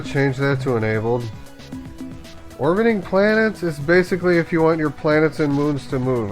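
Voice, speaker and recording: A man giving a casual step-by-step software tutorial, informally thinking aloud as he goes. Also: mid-range voice. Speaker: tutorial host.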